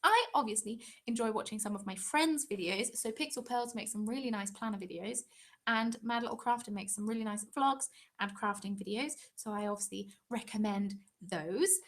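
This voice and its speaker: A woman's voice: mid-range voice, normal speed. A young English woman hosting a craft channel's live stream, chatting casually and rambling with frequent ums.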